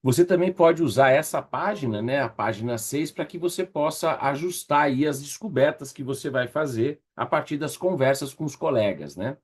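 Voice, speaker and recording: A Brazilian man with a slightly husky voice teaching an online class in a conversational, encouraging way, often checking in with his listeners. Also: mid-range voice, animated, moderate pace, few pauses. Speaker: course instructor leading an online workshop. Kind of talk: casual interactive online teaching.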